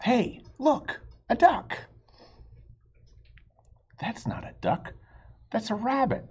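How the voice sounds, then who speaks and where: Man doing squeaky, excitable, bickering storybook pal. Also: slow pace, slightly rough, highs cut off, full character voice. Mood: excited bickering. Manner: excitable, exaggerated, argumentative. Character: two bickering, stubborn, childlike cloud-watching friends. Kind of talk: children's picture-book dialogue read aloud.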